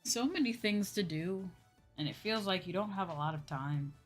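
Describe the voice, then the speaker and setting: A young adult woman in a casual livestream chat, talking as a streamer to viewers in an animated, exclamatory way with acted-out asides. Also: medium pitch, moderate pace. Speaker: VTuber streamer chatting with viewers. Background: background music.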